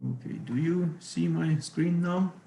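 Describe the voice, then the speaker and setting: A man in his thirties with a Ukrainian accent, giving an online physics lecture. He hesitates often and fills pauses with "uh". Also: fairly high pitch, vocal fry, warm tone, reverberant. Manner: hesitant, many fillers. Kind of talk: online academic talk.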